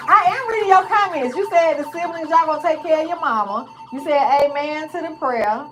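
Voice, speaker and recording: A woman's voice: high voice, brisk, over music. Chatty woman hosting a livestream. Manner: chatty, asks questions and reads comments. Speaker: livestream host. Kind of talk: casual livestream interview.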